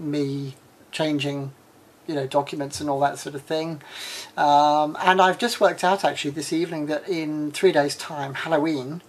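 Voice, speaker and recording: A woman in her forties from the UK, with an English accent turned slightly Australian, chatting frankly in a casual vlog and often pausing with ums and uhs. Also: low-pitched. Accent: English with a slight Australian tinge. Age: 40s. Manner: rambling, many ums and uhs. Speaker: vlogger.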